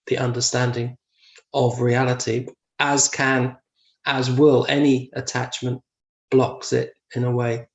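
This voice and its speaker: This man has a gravelly voice that stays level as he works through ideas. He pauses, fills gaps and laughs softly, as in a casual discussion of Buddhist teaching. His voice rings in a roomy space on an online call. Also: medium pitch. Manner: hesitant, with fillers and soft laughs. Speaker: discussion participant.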